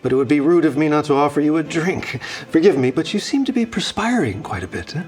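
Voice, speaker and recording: A man's voice: on the high side, animated, fast, darkish tone, close to an everyday voice. A man voicing a nervous, fawning old baron in an audio drama. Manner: fawning, flustered.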